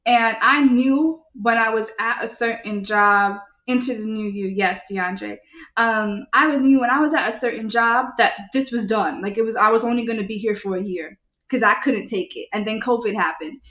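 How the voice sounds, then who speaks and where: A young adult woman hosting a live show, reading viewer comments and asking her listeners questions in an animated, preachy way. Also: on the high side, normal speed. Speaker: show host. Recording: echoey, dull lo-fi sound.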